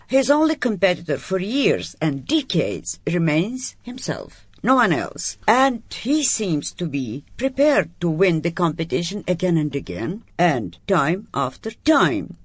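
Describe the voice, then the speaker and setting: Female studio panelist, deliberate, animated delivery, dry sound. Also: fairly low pitch, breathy edge, no echo. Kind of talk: studio discussion.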